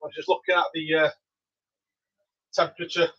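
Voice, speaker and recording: Welsh man, slightly high voice, relaxed brewing chatter, muffled lo-fi stream sound. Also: brisk. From Wales. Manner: relaxed, chatty, answers viewers. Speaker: homebrewer streaming live. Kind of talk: casual live-stream chat. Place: home brewery.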